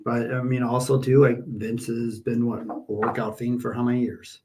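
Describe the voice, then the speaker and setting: A man's voice: mid-range voice, level tone, normal speed, darkish tone, a little hiss or hum. A man in his forties co-hosting a casual wrestling podcast, blunt and opinionated. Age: 40s. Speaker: podcast co-host.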